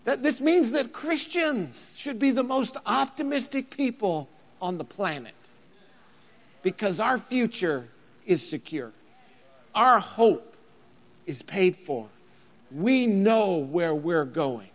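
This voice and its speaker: A man in his thirties preaching a sermon as a pastor, building to emphatic near-shouting. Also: high voice, lively intonation, slow, in a large hall. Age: 30s.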